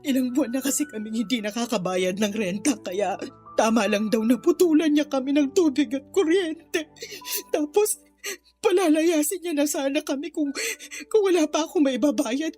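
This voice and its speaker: A woman acting a destitute, guilt-ridden mother in a radio drama. Her high voice is tearful and pleading, crying as she confesses her shame, with music playing underneath. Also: normal speed, a little mellow, close to an everyday voice. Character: remorseful impoverished mother, a runaway aunt, ashamed and grieving.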